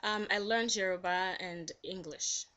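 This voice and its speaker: Bright-voiced Nigerian woman in her twenties. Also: medium pitch, measured pace, lo-fi sound. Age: 20s. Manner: thoughtful, with many ums. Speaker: interviewee. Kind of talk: casual interview answers.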